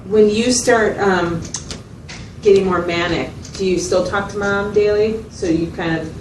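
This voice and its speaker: A woman's voice: medium pitch, fairly even intonation, a little slow, lightly acted. A woman playing a patient mental health facilitator in a role-played client interview, asking checking questions and summing up with hesitant phrasing. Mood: gently probing. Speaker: clinician facilitator. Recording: roomy, distant mic, loud background noise.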